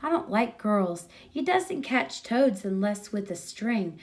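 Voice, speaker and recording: A woman voicing a boisterous ten-year-old boy from a storybook, full of excitable, rambling chatter. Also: medium pitch, takes it slowly. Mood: excited chatter. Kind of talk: storybook character reading.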